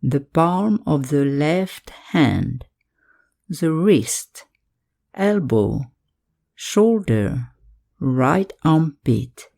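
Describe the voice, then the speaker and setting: A woman guiding a yoga nidra meditation in calm, measured instructions, leaving pauses between phrases. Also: deep voice, vocal fry. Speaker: meditation guide.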